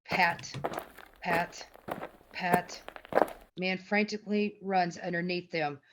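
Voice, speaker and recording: A woman's voice: on the low side, fairly even intonation, slow, heavy background noise. A woman reading out stage directions as the narrator of a screenplay table read over a video call. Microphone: video call microphone.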